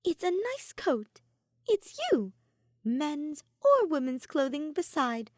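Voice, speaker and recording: A woman voicing a theatrical, warmly effusive young female mage in a narrated story. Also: high-pitched, big pitch swings, slow, no room sound, clean studio sound, voice pushed up, full character voice. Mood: reassuring.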